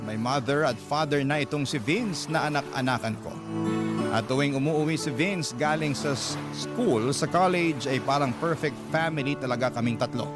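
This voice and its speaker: Man as heartfelt Filipino storyteller over loud music. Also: mid-range voice, normal speed, close to an everyday voice. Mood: confiding, wistful. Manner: earnest, confiding. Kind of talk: first-person radio drama narration. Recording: loud music bed behind narration.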